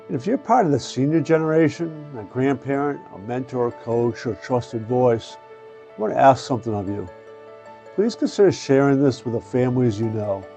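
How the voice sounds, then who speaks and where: A man's voice: mid-range voice, mellow. Older male host, dull lo-fi sound. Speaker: show host and mindset coach. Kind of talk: scripted promo and introduction, then casual interviewing.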